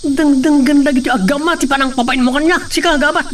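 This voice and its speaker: A man's voice: extremely high pitch, steady tone, fast talker, a bit bright, far from the mic, slight background noise. A man playing a hot-tempered, menacing hacienda henchman in an Ilocano radio drama, taunting and threatening.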